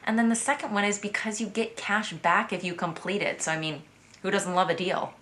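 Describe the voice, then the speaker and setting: A woman's voice: mid-range voice, fairly even intonation, a little fast, a bit bright. An American woman in her thirties, chatting playfully to camera in a casual vlog. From United States. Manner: chatty and playful, with light asides. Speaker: vlogger.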